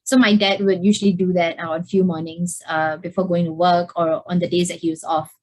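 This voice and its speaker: A woman's voice: fairly low pitch, flat delivery, fairly quick, a little mellow. Young female student, hesitant presenter with lots of fillers, video call. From Singapore. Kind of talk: presentation over slides.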